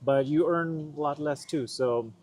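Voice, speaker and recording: A man's voice: fairly high pitch, measured pace, a little mellow, a little hiss or hum. A young man, a vlogger, answering viewer questions in a laid-back, joking way with some hesitant fillers. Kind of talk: casual Q&A chat.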